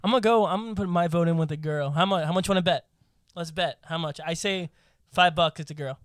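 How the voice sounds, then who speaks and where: A man's voice: on the high side, fast talker, no room sound, studio quality. A young man in casual podcast banter who keeps asking curious questions and laughs often.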